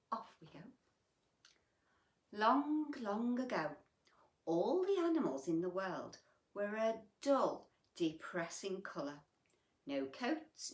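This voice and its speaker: A woman reading a bedtime picture book to children, with gentle, chatty asides and questions to her young listeners. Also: fairly low pitch, echoey room.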